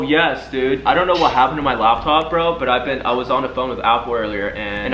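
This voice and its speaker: A young man hosting a prank vlog, chatting casually and excitably with lots of slang. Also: medium pitch. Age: young adult. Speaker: prank video host. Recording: roomy echo, music underneath.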